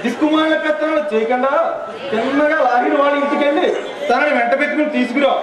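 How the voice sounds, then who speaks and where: A man plays a commanding event boss in a stage drama, declaiming in a very high-pitched voice. The sound echoes through a stage PA, with loud music and crowd noise around it. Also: fast. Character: bossy male event organizer giving orders to underlings. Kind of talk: Telugu stage play acting.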